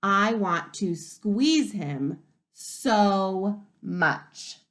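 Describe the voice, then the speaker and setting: A woman's voice: medium pitch, animated, a bit bright. A woman voicing an affectionate storybook auntie who dotes on a baby, drawing out emphatic words. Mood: gushing affection. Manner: exclamatory, drawn-out, emphatic words.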